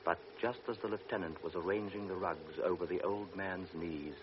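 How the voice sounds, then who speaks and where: A man narrating a classic radio drama in a measured, grave storytelling voice. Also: sits low, steady tone. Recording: dull old radio recording with hiss.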